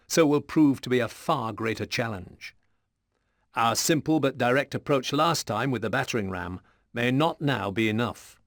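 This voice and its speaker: A man giving formal, measured, serious scripted narration for a medieval war game briefing. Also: medium pitch, no room sound, studio quality.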